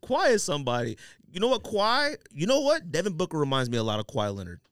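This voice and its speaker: A man's voice: on the high side, huge pitch swings, fast, fairly bright tone, clear, resonant tone, dry recording, clean studio sound. A man hosting a casual sports and sneaker podcast, talking in slangy, teasing banter with friends. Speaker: podcast host.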